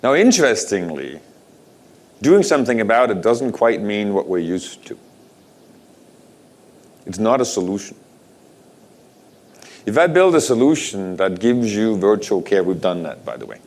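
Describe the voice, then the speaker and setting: A man's voice: lowish voice, big pitch swings, unhurried, pauses often, a little mellow. Danish-born man giving a keynote talk. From Denmark. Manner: rhetorical questions to the audience, conversational. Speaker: conference speaker.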